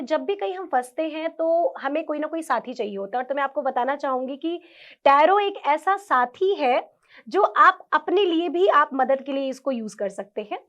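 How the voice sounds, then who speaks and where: A woman's voice: high voice, fairly bright tone. A woman speaking as an interview guest, explaining earnestly and instructively, mixing in English words. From India. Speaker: interview guest, tarot reader and Reiki practitioner; government school teacher. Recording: dull lo-fi sound.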